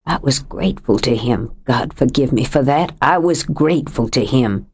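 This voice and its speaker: A woman voicing a grieving, stubborn middle-aged widower who speaks low, heavy and bitter in an audiobook. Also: expressive, normal speed, slightly rough, full character voice. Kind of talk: audiobook character reading.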